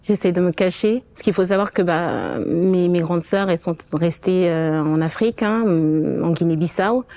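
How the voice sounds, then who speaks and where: Low, mellow-voiced woman, halting delivery. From Portugal. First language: not French. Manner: hesitant, frequent stops and restarts. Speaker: interview guest, quality manager. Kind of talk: personal testimony in conversation.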